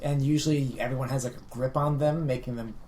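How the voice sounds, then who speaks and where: A man with an average-pitched voice discusses things casually on a podcast. He is measured and analytical, qualifying what he says, with a little noise in the background. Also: fairly even intonation, mellow. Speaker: podcast co-host.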